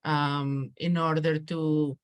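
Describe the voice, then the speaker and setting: Low-pitched woman giving thoughtful, halting answers. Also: level tone, no echo. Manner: halting, thoughtful, repeats words as she searches. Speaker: guest writer and digital rights activist. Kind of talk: reflective interview answer.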